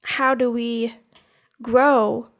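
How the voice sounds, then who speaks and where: A woman's voice: fairly high pitch, level tone, extremely slow. A woman in her twenties co-hosting a podcast, talking casually and rambling, searching for words with lots of fillers. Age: 20s. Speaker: podcast co-host. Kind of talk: casual chat.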